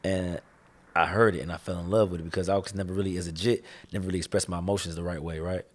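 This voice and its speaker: A man's voice: low-pitched, steady tone, racing. A young man from the Chicago area, a rapper talking casually in an interview, laid-back and slangy, often saying "you know what I'm saying". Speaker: rapper guest.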